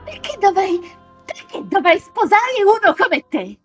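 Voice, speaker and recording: Woman acting haughty, very high-voiced young noblewoman. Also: big pitch swings, a little slow, a bit bright, soft background music, full character voice. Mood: furious. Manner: indignant, petulant, imperious. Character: haughty, furious young noblewoman refusing her fiancé. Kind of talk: dramatic live reading of a visual novel.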